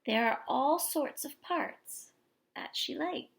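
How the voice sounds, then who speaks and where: A woman teacher reading a picture book aloud expressively to young children, pausing for explanations and questions. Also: high-pitched, expressive, vocal fry. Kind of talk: expressive children's story read-aloud with asides to listeners.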